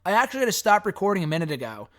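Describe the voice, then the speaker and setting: Hoarse, high-voiced man answering fan questions. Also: big pitch swings, rapid-fire. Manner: rambling, frank, swears casually. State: hoarse, raspy voice. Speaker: wrestling podcast host answering fan questions. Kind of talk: casual solo commentary.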